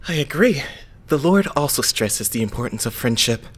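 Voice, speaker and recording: A man voicing a gentle, modest, devout young male idol in an anime fandub, courteous and self-effacing. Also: medium pitch, lively intonation, normal speed, slightly rough. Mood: polite, modest. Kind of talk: anime-style fandub voice acting.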